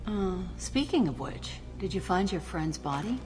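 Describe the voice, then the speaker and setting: A woman's voice: on the low side, sing-song, moderate pace, long reverb tail, music in the background, rough recording. An actress playing a confident, coolly sardonic female agent with a villainous edge in a video game. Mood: cold, smug.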